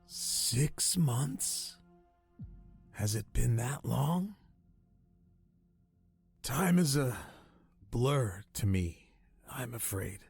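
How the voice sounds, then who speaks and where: Gravelly, dying old castaway. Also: male voice, medium pitch, lively intonation, a little mellow, soft background music, full character voice. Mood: weary, haunted. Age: older. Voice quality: weak, raspy, breathy. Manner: halting, solemn, old-fashioned phrasing. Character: dying old castaway man, weary, haunted, grateful. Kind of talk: radio drama storytelling.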